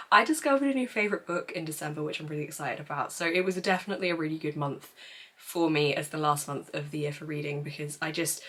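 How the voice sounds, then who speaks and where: Young woman, book vlogger, croaky, lost-voice hoarseness, lowish, chatty. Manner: chatty, self-deprecating, laughs often. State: hoarse, losing her voice. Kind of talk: casual book review monologue.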